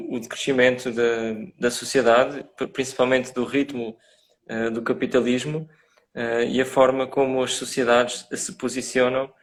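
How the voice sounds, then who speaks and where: A man with a European Portuguese accent, a guest on a live online talk, speaking thoughtfully with frequent hesitant fillers. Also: mid-range voice. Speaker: guest, association president. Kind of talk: live video call interview. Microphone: video call.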